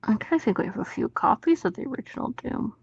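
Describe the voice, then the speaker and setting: A woman's voice: fairly high pitch, animated, fast, vocal fry, a little mellow, breathy edge, no echo, dull audio. A woman co-hosting a casual group chat, speaking bluntly with some swearing. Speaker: co-host.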